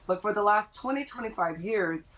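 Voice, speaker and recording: A middle-aged woman speaking as a dentist in an interview, explaining things in a measured way. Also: medium pitch, expressive, fairly bright tone, rough recording. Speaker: dentist interviewed as expert guest.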